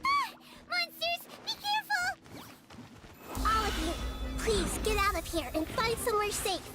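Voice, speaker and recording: A woman voicing a small, wise girl deity in a video game, calm and earnest as she lectures. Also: very high voice, a little slow, full character voice. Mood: alarmed. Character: small girl god, gentle, wise, calmly stern. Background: faint game music.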